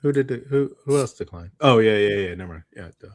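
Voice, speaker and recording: Low voiced guy podcast co-host, rambles, stops and starts, thinking out loud. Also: expressive. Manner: rambling, halting, thinking aloud. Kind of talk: casual unscripted chat.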